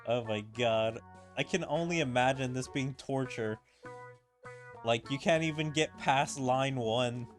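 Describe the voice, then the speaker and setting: A man's voice: mid-range voice, soft background music. A young man streaming a game, chatting in an amused, casual way about what happens on screen. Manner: chatty, amused, quick laughs. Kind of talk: casual gameplay commentary.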